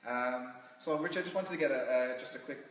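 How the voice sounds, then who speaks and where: A man's voice: medium pitch, fairly quick, fairly bright tone. A man with an Irish accent hosting a casual interview as the one asking the questions. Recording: very echoey hall, distant mic, faint noise.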